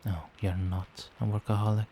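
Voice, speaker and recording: A man playing a doting, gentle vampire boyfriend in a soft-spoken ASMR roleplay, tender, reassuring and coaxing. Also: fairly low pitch, flat delivery, normal speed, noisy background, close to an everyday voice. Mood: gentle concern. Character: doting, gentle male vampire boyfriend soothing partner to sleep.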